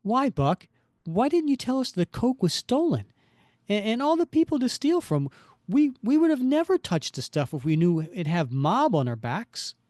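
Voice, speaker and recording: A man's voice: high voice, very animated, normal speed, a little mellow, no echo, studio quality, voice pushed up. A man voicing a frightened, desperate young woman who pleads urgently. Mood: pleading.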